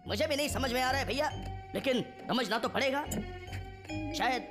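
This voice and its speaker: Cartoon cat: high voice, good-natured, earnest little brother, theatrical, over loud music. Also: male voice, moderate pace. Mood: earnest, determined. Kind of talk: Hindi cartoon dubbing.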